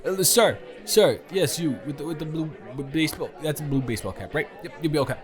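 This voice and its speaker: A man's voice: on the high side, quick pace, soft background music, lightly acted. A man playing a tipsy, stubborn male roommate at a party, rambling and repeating himself in an improvised audio roleplay. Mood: indignant, playful. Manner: rambling, tipsy, dragged-out and repetitive. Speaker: audio roleplay performer, channel creator.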